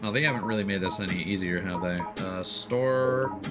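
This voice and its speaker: A man's voice: fairly low pitch, normal speed. A man doing casual live gameplay commentary, thinking aloud and chatting to his viewers as he plays. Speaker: game streamer. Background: video game music.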